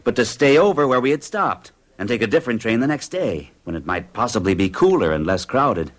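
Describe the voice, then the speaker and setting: An actor in his forties playing a nervous accountant of fixed habits, who recounts a strange experience in an earnest, uneasy way. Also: brisk. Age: 40s. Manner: earnest, uneasy storytelling with a lively pitch. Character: nervous middle-aged male accountant of rigid habits. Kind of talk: 1970s radio drama acting.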